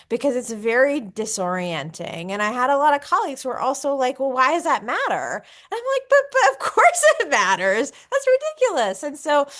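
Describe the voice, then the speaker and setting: A woman speaking as a scholar on an academic panel, earnest and halting as she rephrases her thoughts aloud. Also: medium pitch, fairly bright tone. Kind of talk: unscripted academic discussion.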